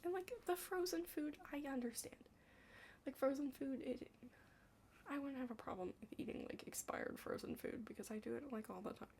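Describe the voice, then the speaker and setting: Creaky young woman rambling in casual ASMR. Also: high-pitched, darkish tone, a little hiss or hum. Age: young adult. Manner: rambling, halting, heavy use of "like". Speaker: ASMR roleplay creator playing a study partner. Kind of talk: ASMR roleplay, casual chat.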